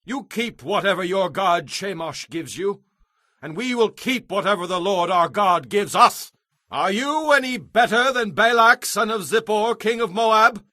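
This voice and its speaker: An actor playing an indignant warrior commander in an audio Bible drama, declaiming forcefully. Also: male voice, high voice, lively intonation, a little slow, dry recording, clean studio sound, full character voice. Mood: indignant challenge. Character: indignant warrior commander arguing his people's case.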